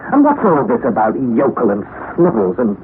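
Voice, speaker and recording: Doubtful young nephew, old radio drama, thin tinny sound, faint hiss. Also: male voice, medium pitch, wildly swooping pitch, moderate pace. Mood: skeptical. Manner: doubtful, questioning. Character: skeptical young male nephew, cautious and doubting. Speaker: narrator and actor in a radio drama. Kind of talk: 1960s radio drama acting. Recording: lo-fi vintage radio broadcast with hiss.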